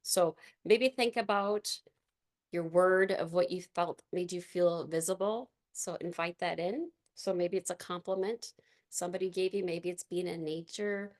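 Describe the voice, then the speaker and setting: A woman with a raspy voice leading a gentle movement and meditation class, giving soft, unhurried instructions with fillers. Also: lowish voice, steady tone.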